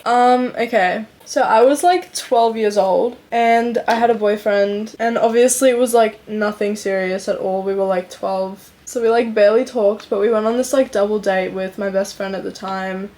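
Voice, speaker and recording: A woman's voice: mid-range voice, fairly even intonation, normal speed, few pauses, darkish tone. An 18-year-old woman from Slovenia chatting through a get-ready-with-me vlog at home, upbeat and full of "like". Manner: chatty, upbeat, filler-heavy ("like"). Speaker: beauty vlogger.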